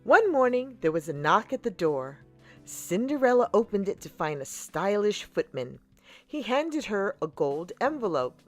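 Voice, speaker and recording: A woman storyteller reading a children's picture book aloud in a slow, deliberate and expressive way. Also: mid-range voice, soft background music. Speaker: storyteller, channel host.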